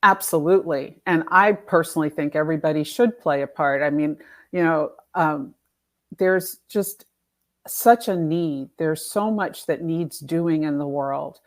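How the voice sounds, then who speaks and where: Low-pitched woman, emphatic interview guest. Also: unhurried. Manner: emphatic, illustrates points with imagined quotes. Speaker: guest, author and activism coach. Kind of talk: conversational interview explanation.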